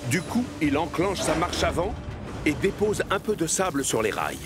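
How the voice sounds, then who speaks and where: A man's voice: fairly high pitch, big pitch swings, normal speed. Male children's story narrator over music. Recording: music behind the voice.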